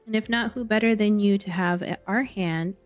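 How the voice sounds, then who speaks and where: A self-assured, scheming diplomat from a fantasy game , read aloud by a woman. The speech is formal and measured in wording, with an occasional halting word. The pitch is mid-range for a woman, and music plays underneath. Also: close to an everyday voice. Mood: calculating, polite. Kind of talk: reading game dialogue aloud.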